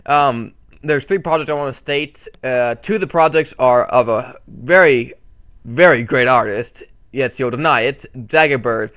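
A man's voice: mid-range voice, measured pace, a bit bright. A man hosting his channel, giving a casual, rambling update full of fillers and restarts on a desktop mic. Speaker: channel host.

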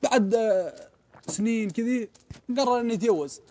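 A young man with an Omani Arabic accent, telling a story on a studio sofa and reciting verses in a slow chant. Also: very high-pitched. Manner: animated, gestures a lot, slows to chant verses. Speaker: guest poet. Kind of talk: casual storytelling and poetry recital. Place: indoor studio set on a sofa.

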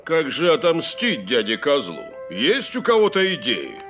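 Pompous, blustering pig father and crooked politician, satirical cartoon, slow and very animated delivery. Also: on the high side. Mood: pompous, indignant. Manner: pompous, exclamatory. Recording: music behind the voice.

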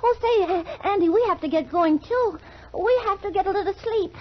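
A woman's voice: very high-pitched, fairly quick, slight background noise. A woman playing a sweet, tender-hearted little girl rag doll in a 1940s children's radio show. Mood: cheerful. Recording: dull old 1940s radio recording.

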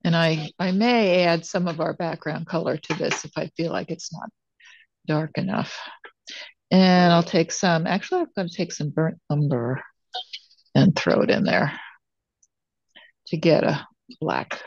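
An older woman teaching watercolor, thinking aloud as she demonstrates and pausing between phrases while she works. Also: deep voice, creaky, darkish tone, no room sound. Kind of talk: real-time demonstration narration, thinking aloud. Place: indoors at a painting table. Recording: dull, muffled lo-fi sound.

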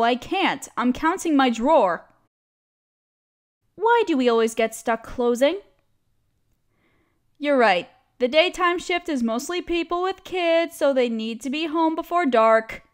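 A cute young female barista played by a woman with a high, girlish voice. She chats expressively in a casual audio roleplay, a friendly coworker who teases and complains playfully. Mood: weary, teasing. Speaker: voice actress. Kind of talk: scripted one-sided audio roleplay, casual chat.

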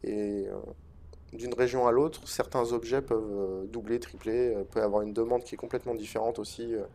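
A man speaks in a mellow, darker tone, stuffed up by a head cold. He talks through gameplay in an unhurried, hesitant way, with frequent fillers and restarts. Faint hiss sits under his desk mic. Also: mid-range voice, steady tone. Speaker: gaming video host. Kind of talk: relaxed commentary over gameplay.

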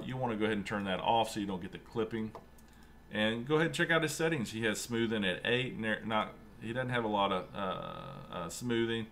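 A man in his thirties hosting a casual screen-share tutorial at home, chatty and relaxed, with lots of fillers and a fairly monotone delivery. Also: fairly low pitch, a little hiss or hum. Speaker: sim racing channel host. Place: home room with a racing rig.

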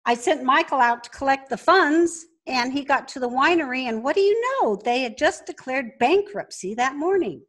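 A woman's voice: sits high, lively intonation, moderate pace, hardly any pauses, fairly bright tone. A woman telling stories conversationally as an interview guest. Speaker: podcast guest, entrepreneur.

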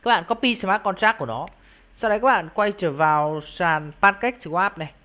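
Explanatory online reviewer with mid-pitched voice. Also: brisk, bright, forceful tone. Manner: explanatory, addressing viewers directly. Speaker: YouTube crypto project reviewer and channel host. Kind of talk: informal screen-share review and walkthrough.

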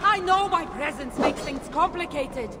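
A woman's voice: sits high, animated, measured pace, heavy background noise. An actress playing a confident, teasing warrior woman from an ancient land in a video game cutscene. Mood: urgent, teasing. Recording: long reverb, loud music behind.

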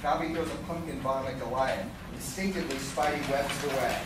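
A man's voice: mid-range voice, brisk. A man reading scripted stage directions as narrator of a live radio play. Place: large hotel conference room with seated audience. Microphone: distant audience camera. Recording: distant echoey room, loud crowd and noise.

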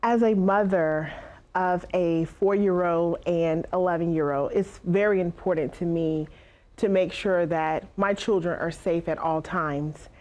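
A woman in her thirties, originally from South Carolina, talks straight to the camera about her work as a former officer. Her voice sits a little low and she speaks in a measured, earnest way. Recorded with a lapel mic on a studio stage. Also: steady tone, a little mellow. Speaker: missing persons advocate, former police officer. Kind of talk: direct-to-camera personal talk.

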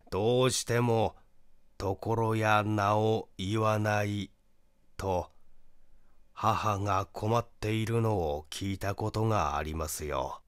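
A man acts out a reserved, grieving brother in a mystery audiobook. His voice is low and very slow, polite and hesitant. The sound is dry and close. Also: clean tone, no echo. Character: reserved, grieving young man recalling his dead sister. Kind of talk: audiobook character dialogue.

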